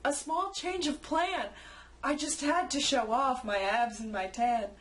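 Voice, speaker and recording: A woman's voice: sits high, unhurried. A woman voicing a jolly, carefree old Santa who shows off in singsong storybook rhyme. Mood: proudly boastful.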